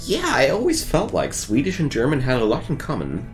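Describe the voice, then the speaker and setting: A man's voice: mid-range voice, wildly swooping pitch, fairly quick, faint music behind. A man who is not a native English speaker, chatting casually and rambling with viewers while streaming a game. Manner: rambling and chatty, restarts thoughts.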